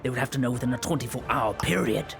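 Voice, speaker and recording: A man voicing a paranoid, ruthless nobleman who interrogates a servant with slow, whispered threats. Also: mid-range voice, vocal fry, a bit bright, faint music behind. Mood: angry. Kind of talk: voice roleplay in a virtual world.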